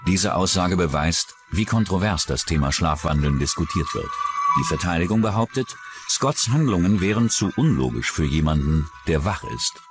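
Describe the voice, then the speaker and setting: A man narrating a true-crime documentary from a script in a measured, dramatic way. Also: low-pitched, animated. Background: music behind the voice.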